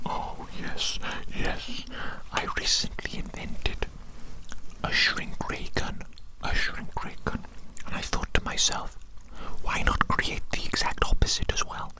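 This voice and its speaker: A performer voicing an eccentric evil doctor in a breathy ASMR whisper, repeating phrases soothingly and dotingly. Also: slow, natural voice, poor audio. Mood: doting, gleeful.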